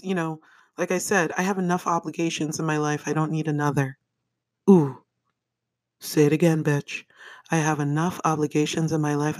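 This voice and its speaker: A woman with a deep, raspy voice hosting her own podcast, talking casually, laughing often and swearing freely. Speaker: podcast host. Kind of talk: casual solo podcast monologue. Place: home bedroom. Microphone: microphone on a stand, held in hand.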